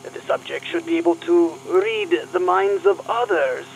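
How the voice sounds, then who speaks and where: Slow, creaky Russian scientist. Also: male voice, high voice, a little hiss or hum, rough recording. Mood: pleased, sinister. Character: gloating, deliberate male Russian KGB scientist. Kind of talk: Cold War radio play acting.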